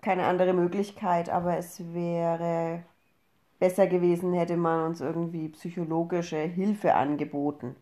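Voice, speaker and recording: A woman's voice: lowish voice, little pitch movement, unhurried, warm tone. Woman in her thirties, casual podcast co-host. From Upper Franconia, Germany. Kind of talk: casual conversational podcast talk.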